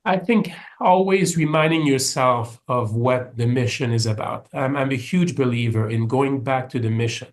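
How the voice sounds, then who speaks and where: A man's voice: mid-range voice, normal speed, hardly any pauses. A man with a French Canadian accent, answering interview questions in a thoughtful, conversational way with frequent restarts. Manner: thoughtful, many restarts and fillers. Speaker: orchestra executive, guest.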